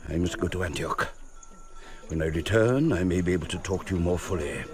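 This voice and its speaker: An actor playing a proud, fiery old desert sheikh in a radio drama, speaking in an emphatic, declamatory way. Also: male voice, low voice, brisk, music in the background, close to an everyday voice. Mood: urgent. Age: older. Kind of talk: theatrical radio drama acting.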